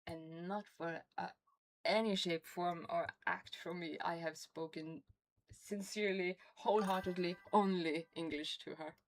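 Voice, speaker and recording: A woman's voice: on the low side, steady tone, measured pace. A woman from Norway with a Norwegian accent, chatting casually on a game stream with a toothache, rambling and laughing often. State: has a toothache.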